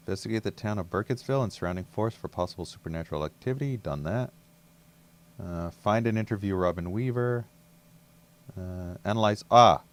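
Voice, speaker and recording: A man casually commenting while playing a video game, laid-back and muttering to himself. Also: lowish voice. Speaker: let's play host.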